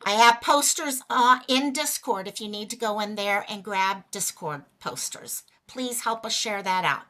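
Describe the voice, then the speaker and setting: A woman hosting a casual livestream, chatty and greeting viewers by name, a little hoarse as she is losing her voice. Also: medium pitch, unhurried, pushed and bright. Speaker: livestream host.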